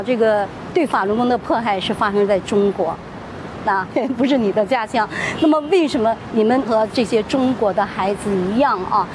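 A woman interviewing people on location, often murmuring short acknowledgements as they talk. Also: medium pitch. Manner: frequent murmured backchannels. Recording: clear outdoor ambient noise.

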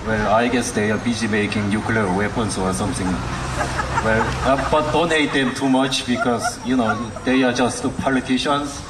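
A man's voice: medium pitch, moderate pace, echoey room. Casual joking man from south korea, distant mic, noisy. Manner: joking, relaxed asides. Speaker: participant giving a greeting. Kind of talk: casual spoken greeting.

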